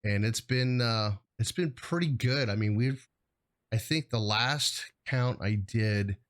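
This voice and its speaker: A man's voice: medium pitch, slow, fairly bright tone. A middle-aged man hosting a show in casual chat, rambling a little with a jokey, hesitant delivery. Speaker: show host.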